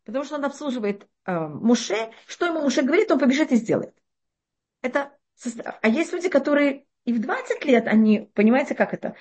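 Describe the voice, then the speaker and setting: Middle-aged woman teacher, quick and fairly high, animated, restarts a lot. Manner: animated explaining with frequent restarts and rhetorical questions. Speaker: Torah teacher. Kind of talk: interactive religious class.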